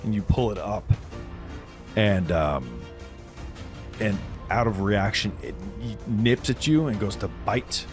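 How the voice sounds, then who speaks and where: A man's voice: lowish voice, animated, takes it slowly, long pauses, music in the background. A man running a tabletop game, improvising dramatic, descriptive narration as game master.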